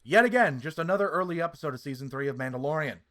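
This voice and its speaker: A man's voice: fairly high pitch, animated, racing, bright, forceful tone. A man hosting a podcast, giving opinionated casual reviews in an emphatic, sweary way. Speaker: podcast host.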